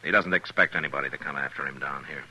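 An actor playing a weary, resolute US marshal in a 1950s radio western, with an American accent and terse, authoritative replies. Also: male voice, a bass voice, quick pace, pushed and bright, slightly rough, exaggerated voice, rough recording. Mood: watchful, serious. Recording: old radio recording with faint noise.

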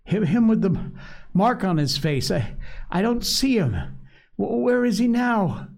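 A man's voice: sits high, sing-song, a little slow, gravelly, darkish tone, voice pushed up, full character voice. A man voicing an anxious, whiny chubby schoolboy with glasses, who pleads, stammers and gasps for breath. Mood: panicked.

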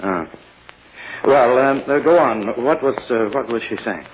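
Guy acting a radio courtroom prosecutor, deliberate n probing, voice swings around, old hissy recording. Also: mid-range voice, expressive, lo-fi sound, lightly acted. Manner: halting, careful, insistent questioning. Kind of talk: 1940s radio drama acting.

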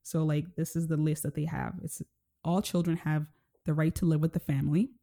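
A woman from Kenya hosting a casual interview, gentle and rambling, full of "like" and "you know". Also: deep voice, level tone, a little fast. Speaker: podcast host.

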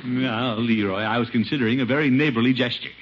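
An actor playing a blustering, scheming but good-hearted middle-aged uncle in a theatrical 1950s radio comedy style. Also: male voice, medium pitch, fairly even intonation, fast talker, natural voice. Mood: scheming, neighborly. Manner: blustery, theatrical, self-important. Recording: muffled, noisy vintage radio recording.

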